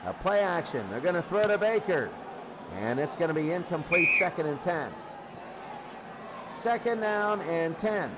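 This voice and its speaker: Guy doing football play by play, pretty high voice, excitable, repeats himself, lots of noise on it. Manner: excitable, exclamatory, repeats phrases. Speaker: play-by-play sports commentator. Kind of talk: live American football commentary.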